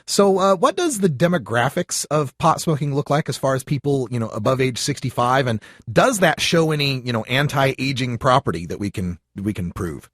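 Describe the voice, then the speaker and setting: A man's voice: mid-range voice, animated, moderate pace, fairly bright tone, dry recording. Host voice: man, upbeat and chatty, dry close sound. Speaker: radio talk show host. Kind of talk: live call-in show hosting.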